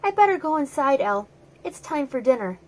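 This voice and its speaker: Woman as a sad little lion cub, very high. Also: big pitch swings, fairly quick. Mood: subdued. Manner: plaintive, childlike, earnest. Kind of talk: children's storybook read-aloud.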